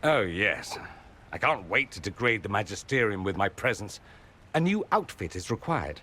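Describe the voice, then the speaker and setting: A man's voice: on the low side, sing-song, normal speed, sharp tone, slight background noise. A man playing a witty, flamboyant mage nobleman in a video game, arch and droll but tender. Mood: droll, reassuring. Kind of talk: dramatic video game dialogue.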